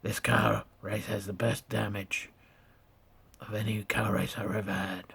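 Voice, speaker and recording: A man giving casual, excitable commentary over a racing game. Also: on the low side, measured pace, vocal fry, slightly rough. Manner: excitable exclamations. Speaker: gamer.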